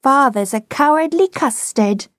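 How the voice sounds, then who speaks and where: A woman's voice: high voice, animated, unhurried, fairly bright tone, dry recording, studio quality, voice pushed up. Woman voicing a stubborn, suspicious little storybook boy. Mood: taunting. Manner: dogged, challenging. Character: suspicious, stubborn small boy, coldly defiant. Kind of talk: dramatised storybook reading.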